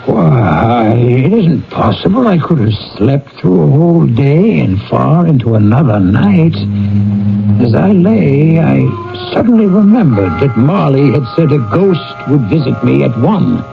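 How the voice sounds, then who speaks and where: A man's voice: fairly high pitch, sing-song, unhurried, vocal fry, heavily acted. An actor playing a frightened, defensive old miser in a radio drama, theatrical and wavering as he tells his tale. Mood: bewildered, uneasy. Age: older. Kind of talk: dramatic radio acting and storytelling. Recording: muffled old radio recording with music.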